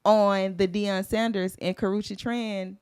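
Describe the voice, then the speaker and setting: A woman's voice: mid-range voice, little pitch movement, slow, a little mellow, smooth, no room sound, clean studio sound. A woman co-hosting a casual talk show, steering the topic and teasing the others. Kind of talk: casual chat.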